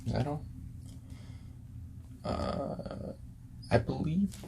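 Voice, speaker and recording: A man playing a friendly, laid-back hippie record store clerk in a soft-spoken ASMR role-play, often breathy and whispering, with hesitant, casual small talk. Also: on the high side, expressive, very slow, background noise, pitched above the performer's own voice, heavily acted. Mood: relaxed, helpful.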